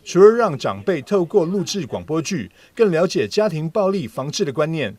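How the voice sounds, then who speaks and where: Male Taiwanese news reporter, higher-pitched, lively scripted narration. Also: moderate pace. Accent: Taiwanese Mandarin.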